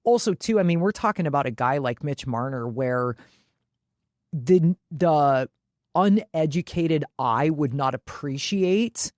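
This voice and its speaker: A woman's voice: sits low, animated, a little slow, a little mellow, no room sound. Blunt woman in casual sports chat, dry sound. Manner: blunt, emphatic, frequent swearing. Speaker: sports podcast co-host.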